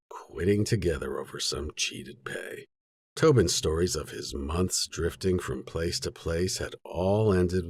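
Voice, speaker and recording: A man narrates literary fiction in a low voice with a husky grain. His delivery is measured and sober, yet his pitch moves in a lively way through the sentences. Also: dry recording. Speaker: audiobook narrator.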